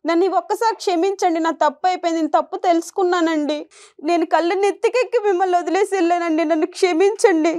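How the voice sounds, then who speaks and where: Very high-pitched pleading woman. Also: quick pace, darkish tone. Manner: beseeching, apologetic, repetitive. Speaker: wife, guest. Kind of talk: emotional pleading.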